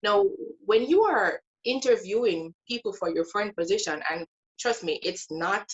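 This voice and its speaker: A woman's voice: mid-range voice, expressive, moderate pace, highs cut off. A woman guest sharing her Bible study in a casual faith conversation. She speaks earnestly and with emphasis, stretching out key words like a teacher.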